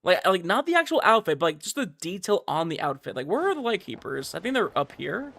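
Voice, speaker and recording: A man streaming a game and chatting casually, rambling and excitable, repeating himself for emphasis. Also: high-pitched, fairly quick, vocal fry. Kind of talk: casual livestream chat about a game. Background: faint music.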